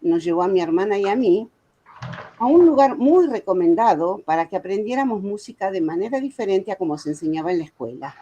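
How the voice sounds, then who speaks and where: A woman in her seventies speaks with a Buenos Aires accent at a middling pitch. She delivers a prepared talk in an earnest, measured storytelling manner, now and then slipping into informal asides. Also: expressive, dark tone, highs cut off. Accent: Argentine, Buenos Aires. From Argentina. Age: 70s. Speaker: guest speaker, author and music educator.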